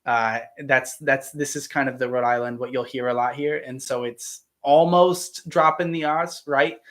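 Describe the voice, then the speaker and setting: A man's voice: medium pitch, steady tone, moderate pace, fairly bright tone. A man from Ohio with a standard American accent, chatting casually and enthusiastically on a live Q&A, with many fillers and restarts. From Ohio, United States. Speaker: actor hosting a live Q&A. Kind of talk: casual livestream chat.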